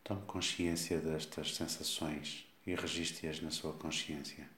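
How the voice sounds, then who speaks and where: A man with a European Portuguese accent guides a body scan meditation, giving slow, calm instructions with long pauses. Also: very deep voice. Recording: echoey, reverberant room sound.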